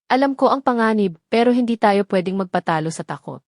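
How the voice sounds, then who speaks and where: A woman's voice: mid-range voice, animated, fairly quick, a little mellow, dry recording, clean studio sound, lightly acted. A woman narrator with a Filipino accent voicing a resolute, protective husband in an audio drama, earnest and reassuring. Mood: reassuring, determined.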